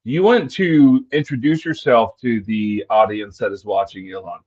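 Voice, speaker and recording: A male host runs a live-stream interview with a fairly low voice. His questions are friendly and easygoing, with fillers. The audio is dull and lo-fi. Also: normal speed, darkish tone, breathy edge.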